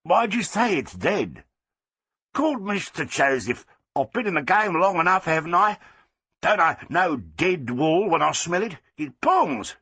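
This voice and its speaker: A male reader voicing a gruff working-class storeman. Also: fairly high pitch, big pitch swings, a little slow, sharp tone, no room sound, pitched above the performer's own voice, full character voice. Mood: insistent.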